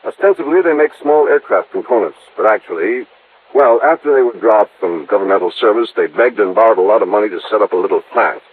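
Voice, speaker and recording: A man's voice: lowish voice, fast talker, narrow, tinny audio, slight background noise, close to an everyday voice. An American man playing an earnest, businesslike insurance official in an old radio drama, explaining things carefully. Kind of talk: mid-century American radio drama acting. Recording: band-limited old radio recording.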